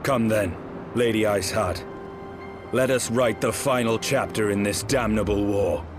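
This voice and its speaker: An actor playing a grim, vengeful, war-weary knight in a fantasy video game, speaking in solemn, archaic phrases. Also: male voice, lowish voice, measured pace, lightly acted. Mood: resolute. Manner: solemn, archaic formal speech. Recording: music behind the voice. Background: loud game score.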